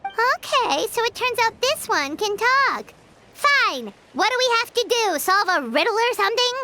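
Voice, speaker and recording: A woman voicing a tiny, childlike game companion, bossy and excitable, with a chirpy, exclamatory delivery. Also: very high-pitched, a little slow, full character voice. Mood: skeptical, bossy. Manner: chirpy, exclamatory, refers to self by name. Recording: loud background game music.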